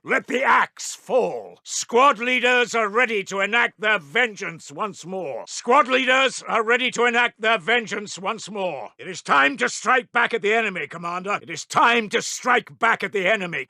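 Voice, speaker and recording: A man's voice: high voice, bright, forceful tone, dry recording, studio quality, exaggerated voice. A man playing a stern, zealous wartime intelligence officer in a strategy game, giving formal, clipped, martial announcements. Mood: rousing, defiant, then resolute. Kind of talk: scripted game unit announcements.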